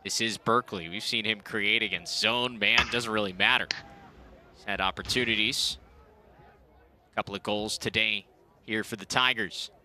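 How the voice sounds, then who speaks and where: A man doing play-by-play commentary on a college lacrosse broadcast, with brisk calls of the action. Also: fairly low pitch, fairly even intonation, sharp tone. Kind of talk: sports commentary.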